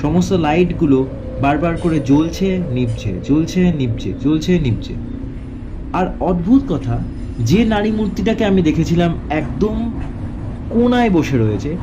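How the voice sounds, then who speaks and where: A man narrating a first-person horror story in a dramatic, suspenseful way, with deliberate phrases broken by pauses. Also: fairly high pitch, background noise.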